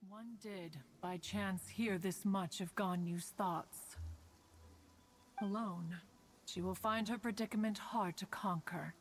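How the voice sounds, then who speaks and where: A woman's voice: mid-range voice, steady tone, slow pace, slight background noise. A woman voicing an ancient, dignified, motherly female immortal in a video game, with stately, archaic formal speech. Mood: solemn, concerned. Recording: soft game music behind the voice.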